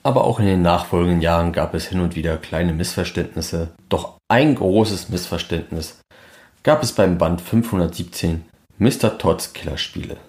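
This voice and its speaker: Guy with a low voice reading a scripted podcast feature, documentary style. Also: moderate pace, a little mellow. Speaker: podcast presenter.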